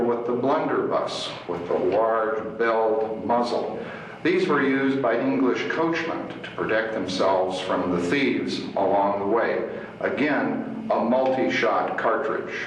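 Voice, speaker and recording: A man's voice: medium pitch, a bit bright. A man giving a formal explanatory history talk as a military museum curator. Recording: very echoey, distant mic, faint noise.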